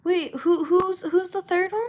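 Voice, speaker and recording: A young woman chatting casually and unscripted, in a halting, rambling way with trailing thoughts and repeated words. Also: very high-pitched, steady tone, darkish tone. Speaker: voice actor and comic dub creator chatting with viewers.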